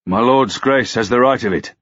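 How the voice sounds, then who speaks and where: A man's voice: medium pitch, moderate pace, a bit bright, muffled recording, voice pushed up, natural voice. A man voicing an earnest, proud medieval knight, deferential and alarmed, in archaic audiobook dialogue. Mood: uneasy, deferential. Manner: humble, archaic, pleading formality.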